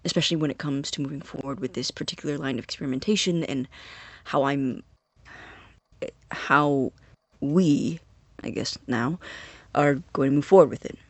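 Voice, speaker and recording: An actor playing an earnest young man of science dictating a private lab log. His voice is a little high and husky, recorded close and dry. He phrases things formally but haltingly, as in an intimate audio drama. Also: dry recording, lightly acted. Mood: earnest, proud. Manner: formal wording, hesitant, halting.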